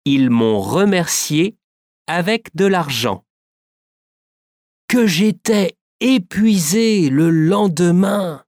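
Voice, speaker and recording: A man giving a careful, clearly articulated scripted reading for a language course. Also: high voice, animated, very slow, a little mellow, no room sound, studio quality. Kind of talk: slow scripted reading for learners.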